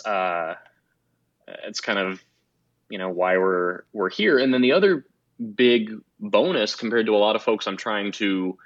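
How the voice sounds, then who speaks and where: A man with a deep voice, a guest in a casual interview, speaking in a measured, reflective and frank way. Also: fairly bright tone, no room sound, dull audio. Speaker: guest, motorsport site founder.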